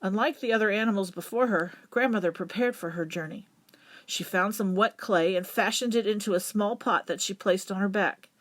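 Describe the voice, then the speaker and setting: A woman reading a children's folktale as a storyteller, with dramatic, deliberate emphasis. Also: mid-range voice, fairly bright tone. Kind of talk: expressive children's folktale narration.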